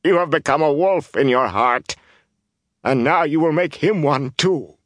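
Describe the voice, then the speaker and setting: A man's voice: a little high, measured pace, fairly bright tone, no echo, pitched above the performer's own voice. A man voicing a distraught older grandmother in an audiobook, breathless, pleading and sobbing. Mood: sobbing accusation. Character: frantic weeping older grandmother of a wandering people.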